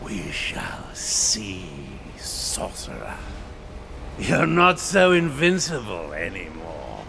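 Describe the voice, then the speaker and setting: Vengeful ghostly champion, gravelly and slow, arrogant, theatrical menace, game cutscene. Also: male voice, deep voice, wildly swooping pitch, noisy background, exaggerated voice. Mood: cold menace. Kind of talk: video game cutscene acting.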